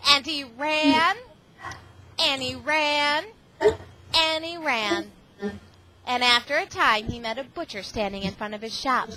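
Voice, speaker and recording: A woman reading a storybook aloud to children in a classroom, with animated, expressive storytelling. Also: high-pitched, slow, long pauses. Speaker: reading tutor and visiting parent reading to a class. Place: school classroom. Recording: very noisy amateur classroom recording.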